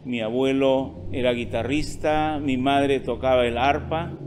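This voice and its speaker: A middle-aged musician speaking plainly and calmly in an outdoor interview. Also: male voice, mid-range voice, slight background noise. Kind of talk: interview testimony. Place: outdoor terrace by a park.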